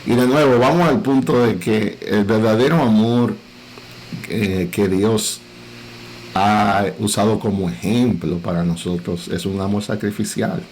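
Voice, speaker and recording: A middle-aged man with a Dominican Cibao accent, a pastor speaking earnestly and in a measured way on a parenting panel. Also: on the low side, steady tone, a little mellow, a little hiss or hum, poor audio. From Dominican Republic.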